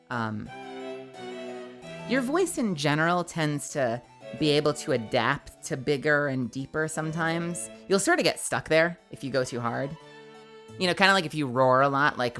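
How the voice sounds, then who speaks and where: A woman streamer with a gravelly, textured voice, chatting casually and rambling between game lines. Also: very deep voice, fairly bright tone. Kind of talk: live game voice-acting stream.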